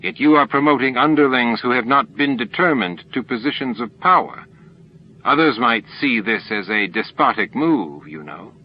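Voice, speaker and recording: A man's voice: mid-range voice, lively intonation, normal speed, dull audio, lightly acted. A man voicing a challenging alien council member with a dry, pointed, formal way of speaking. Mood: dry, sardonic.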